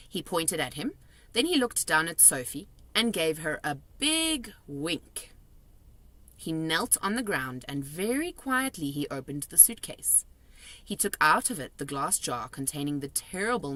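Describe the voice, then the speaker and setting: A woman reading a children's story aloud as narrator, expressive and deliberate, with a dramatic storytelling manner. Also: deep voice, no room sound. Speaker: storybook reader.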